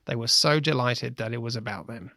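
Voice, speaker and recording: A man with a gravelly voice, reading first-person anecdotes as a playwright. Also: mid-range voice, fairly quick, pushed and bright, no room sound, clean studio sound.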